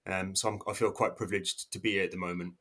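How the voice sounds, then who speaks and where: A man in his thirties with an English accent speaks in a fairly low voice. He talks as a podcast guest, casual and hesitant, often restarting his sentences and filling pauses. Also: little pitch movement, pushed and bright. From South Africa. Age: 30s. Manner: hesitant, many restarts and fillers. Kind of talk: casual interview talk.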